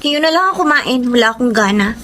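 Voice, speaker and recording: Very high-voiced, stubborn young Filipina daughter. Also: female voice, animated, brisk, a bit bright, slight background noise. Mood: sulky. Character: sulky, possessive young adult daughter, stubbornly devoted to late father. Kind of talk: Filipino radio drama acting. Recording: music under the voice.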